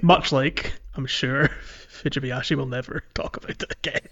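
A man's voice: medium pitch, fairly even intonation, moderate pace, fairly bright tone. A man co-hosting a podcast, talking casually about a video game. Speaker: podcast co-host. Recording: slightly dull, lo-fi audio.

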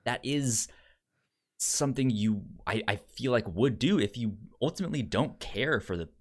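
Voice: medium pitch, expressive, normal speed. A podcast host talking casually about film in a hesitant way, often stammering and repeating sounds.

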